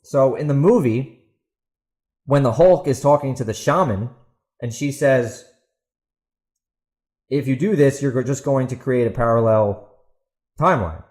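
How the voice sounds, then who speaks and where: A man's voice: mid-range voice, measured pace, long pauses, darkish tone. A man hosting a solo podcast, talking casually and emphatically with opinionated asides. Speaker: podcast host and author.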